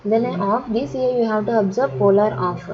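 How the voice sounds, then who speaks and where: A woman's voice: medium pitch, normal speed. Indian woman tutor, dull lo-fi sound, background chatter. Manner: repetitive, instructional, in short broken phrases. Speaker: instructor. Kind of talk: step-by-step software tutorial. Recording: dull lo-fi audio, chatter of voices behind.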